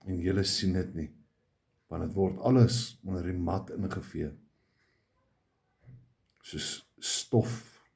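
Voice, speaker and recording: A South African man with an Afrikaans accent, a native Afrikaans speaker, talking straight to his viewers in a halting, emphatic monologue that repeats words. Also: low voice, creaky, darkish tone. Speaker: vlogger.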